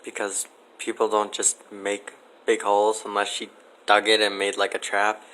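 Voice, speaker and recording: A man giving casual, matter-of-fact interview answers in a deadpan way. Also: lowish voice, normal speed.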